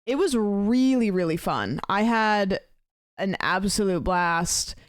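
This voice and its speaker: A woman's voice: mid-range voice, expressive, takes it slowly, no echo, clean studio sound. A woman in her late twenties, a streamer chatting casually with her viewers, rambling with lots of fillers. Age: late 20s.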